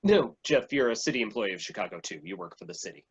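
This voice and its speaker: Woman as a low, husky, earnest sidekick. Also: animated, fast, lo-fi sound, full character voice. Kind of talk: staged reading of a comic play.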